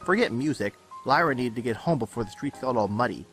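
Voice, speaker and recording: A man narrates fiction from a script with lively, wide-ranging intonation. His storytelling is expressive and dramatic. Faint music sits behind his voice. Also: mid-range voice, fast talker. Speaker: audiobook-style reader of fan fiction. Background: background music.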